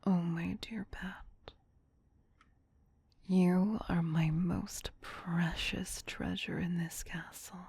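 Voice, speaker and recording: A woman playing a tender, possessive vampire queen in an ASMR roleplay, in a breathy whisper with a soothing, intimate, doting manner. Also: on the low side, a little mellow, heavily acted.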